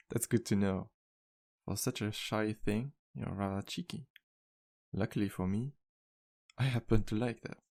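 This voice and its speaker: Male actor as flirty vampire bartender, low pitch, heavy vocal fry, sweet, teasing, courteous, intimate ASMR roleplay. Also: a little mellow. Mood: playful, charmed. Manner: smooth-talking, courteous, playful, unhurried pauses. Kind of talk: ASMR roleplay, intimate one-sided dialogue.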